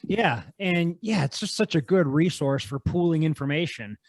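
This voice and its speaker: A man's voice: fairly high pitch, animated, moderate pace, no echo. A male podcast host chatting in a laid-back, enthusiastic way and often calling his guest "man". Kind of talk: casual conversation.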